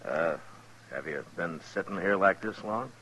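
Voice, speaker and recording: A man acting a stern, steady frontier lawman in an old-time radio western, speaking deliberately. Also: deep voice, husky, heavily acted. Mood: probing, careful. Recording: noisy old radio drama recording.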